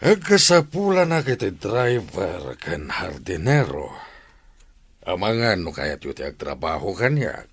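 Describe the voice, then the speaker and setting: A man playing a calm, shrewd older homeowner in an audio drama, speaking deliberately in a husky voice. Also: deep voice, full character voice.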